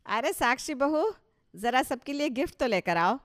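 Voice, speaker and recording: A woman's voice: high-pitched, lively intonation, normal speed. A woman playing a proud, touchy older mother-in-law in a cartoon story, talking emphatically and with self-satisfaction. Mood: proud, gracious. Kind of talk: Hindi cartoon story dialogue.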